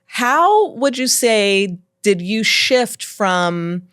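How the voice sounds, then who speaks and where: A woman from Hawaii hosts a casual podcast interview, recorded dry and close with almost no room sound. She speaks at an ordinary pitch, answers with quick "mm-hmm"s, and laughs readily. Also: sing-song, clean tone, dry recording. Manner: warm listener, brief affirmations, laughs readily. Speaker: podcast host.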